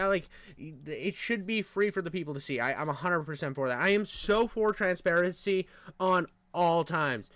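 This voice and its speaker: High, nasal male reaction commentator, rambling crude banter, dry close sound. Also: fairly bright tone, no echo.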